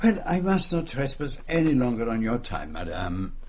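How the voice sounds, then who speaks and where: Belgian French accent, fussy courteous detective, pompous, husky, lively intonation, radio drama. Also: male voice, mid-range voice, normal speed. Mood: courteous, evasive. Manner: polite, precise, slightly pompous. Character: courteous, self-satisfied middle-aged foreign detective, a man.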